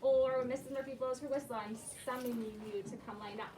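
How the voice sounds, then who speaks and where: A woman's voice: high-pitched, fairly even intonation, fast, dark tone. A woman teacher leading an interactive read-aloud lesson with children, asking questions and repeating and affirming their answers. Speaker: primary school teacher. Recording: roomy, noisy, distant classroom microphone.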